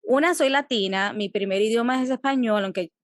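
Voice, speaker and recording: A woman's voice: a little high, brisk, dry recording, clean studio sound. A young adult woman from the Dominican Republic with a Dominican Spanish accent, a psychologist talking as an interview guest, reflective, with restarts and English phrases mixed in. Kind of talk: casual interview conversation.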